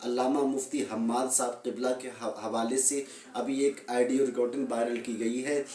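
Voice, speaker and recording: A man's voice: medium pitch, a little mellow. A man giving informal religious commentary and admonishment, earnest and repeating his phrases for emphasis. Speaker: Islamic religious commentator addressing viewers. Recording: echoey room, distant microphone.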